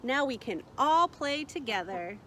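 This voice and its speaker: A woman voicing a cheerful, excited little male chick in a read-aloud picture book. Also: high-pitched, a little slow, a little hiss or hum, exaggerated voice.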